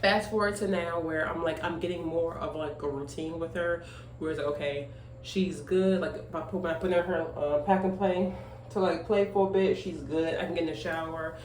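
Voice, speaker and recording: A woman's voice: lowish voice, fairly even intonation, moderate pace, darkish tone. Chatty young woman in an echoey room. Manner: chatty, rambling, filler-heavy, emphatic "okay?" asides. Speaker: vlogger, young single mother. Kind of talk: casual chat while grooming. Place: at home. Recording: echoey room, voice off-mic, faint noise.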